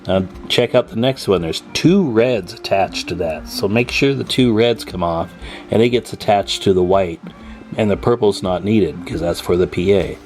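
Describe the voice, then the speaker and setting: Low-pitched man giving a casual hands-on tutorial. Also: darkish tone. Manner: folksy, joking, thinking aloud as he works. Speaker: hobbyist radio repair demonstrator, channel host.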